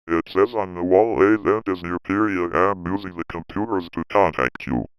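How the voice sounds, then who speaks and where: Man playing scared field agent, low male voice, amateur horror drama. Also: moderate pace, clean tone, dry recording, muffled recording, lightly acted. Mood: uneasy, reporting. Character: frightened male field agent reporting a monster. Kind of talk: amateur horror scenario acting.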